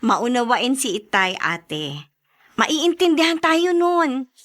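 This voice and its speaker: An actress playing a busy, breezy younger sister in a Filipino radio drama. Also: female voice, high-pitched, slow pace, a bit bright. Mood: breezy, apologetic.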